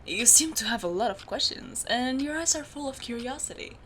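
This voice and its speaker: Steampunk clock repairer , played by a woman: female, patient, secretive, slightly high bright voice, faint noise behind, audio roleplay. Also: animated, fairly quick. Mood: hesitant, inviting. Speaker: amateur voice actor. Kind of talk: audio roleplay, speaking to the listener.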